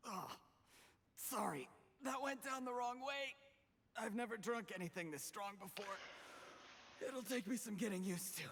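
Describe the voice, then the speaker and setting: A man's voice: high-pitched, normal speed, pauses often, a bit bright, big-room sound, a little hiss or hum. An actor playing a nervous young man who speaks hesitantly and anxiously. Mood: flustered. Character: timid nervous young man, secretly a poisoner. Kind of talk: video game voice acting.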